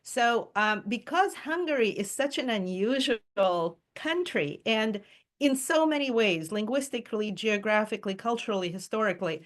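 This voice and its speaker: A woman's voice: a little high, animated, normal speed. A woman leading a reading group over a Zoom call, talking in a chatty, enthusiastic way with frequent ums and small laughs. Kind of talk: casual Zoom presentation. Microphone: video call.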